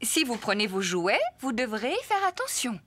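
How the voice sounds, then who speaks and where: A woman voicing a gently cautioning mummy pig in a French-dubbed preschool cartoon. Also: a little high, big pitch swings, normal speed. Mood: gentle warning. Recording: loud noise behind.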